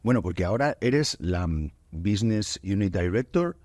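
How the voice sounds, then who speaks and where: A man from Spain hosts a podcast conversation, his voice low and soft-edged. He speaks in a relaxed, halting way, full of fillers and restarts. The studio sound is dry and close. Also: no echo. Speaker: podcast host. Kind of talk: casual interview chat.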